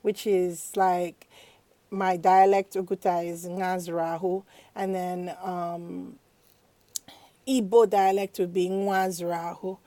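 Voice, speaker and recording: A woman's voice: on the low side, flat delivery, dark tone, dry recording. A woman co-hosting a podcast in playful, chatty conversation. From Nigeria. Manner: chatty, playful, quick to laugh. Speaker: podcast co-host. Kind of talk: casual podcast chat.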